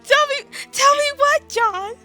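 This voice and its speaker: A woman's voice: measured pace, soft background music, exaggerated voice. Woman playing a nervous, shy young man. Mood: anxious. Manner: high, excitable, emotional delivery.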